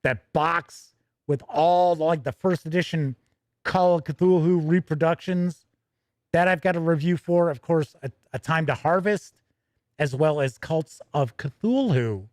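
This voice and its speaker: A man speaks as a livestream host in a fairly high register. His delivery is conversational and loose, wandering and doubling back as he chats with viewers. The sound is very dry, with no room echo around the voice. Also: a little slow, darkish tone. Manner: rambling, conversational, self-interrupting. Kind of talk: casual livestream chat, answering viewer questions.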